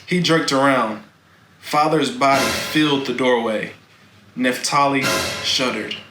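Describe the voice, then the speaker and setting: An echoey room with faint noise surrounds a man reading a children's novel aloud. He narrates slowly and dramatically, with deliberate phrasing and a bright voice. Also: medium pitch. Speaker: storybook reader.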